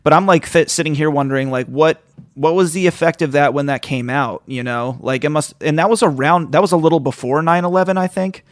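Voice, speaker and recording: A man in his thirties hosting a casual literary interview, asking long, halting questions that circle around. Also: fairly high pitch, no room sound, studio quality. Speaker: podcast host, interviewer.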